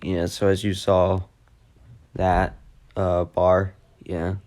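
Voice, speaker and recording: A man giving a casual, unscripted tech demo in a halting, repetitive way that tends to trail off. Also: low voice, completely flat delivery, a little mellow. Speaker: video host demonstrating a walkie-talkie app.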